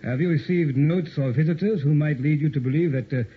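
An actor playing a blustering, self-important police inspector with pompous, formal phrasing in an old-time radio horror drama. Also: male voice, on the high side, brisk, husky. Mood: inquiring. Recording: tinny, noisy old radio recording.

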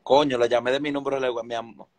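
A man's voice: mid-range voice, level tone, quick pace, no room sound. A man in his twenties with a Dominican accent, chatting casually on a livestream from a room at home, talking into a phone at arm's length. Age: 20s. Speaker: livestreamer making prank calls. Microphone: phone held at arm's length.

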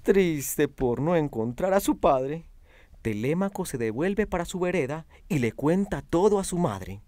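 A man's voice: on the high side, lively intonation, a little slow, darkish tone, dry recording. A man narrating a radio drama in a scripted, dramatic storytelling style.

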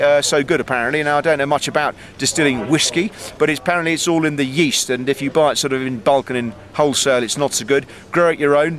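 A man's voice: a little high, fairly bright tone. A middle-aged man giving a casual snow report to a camera held at arm's length, outdoors on a mountain, talking in a chatty way with many ums and dry asides. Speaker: ski reporter.

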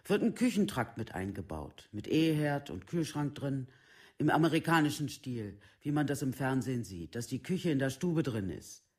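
A man's voice: medium pitch, sing-song, normal speed, a little mellow, lightly acted. A male actor playing a worn-down, devoted working-class mother, plain-spoken and resigned, in a documentary radio play. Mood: weary, resigned.

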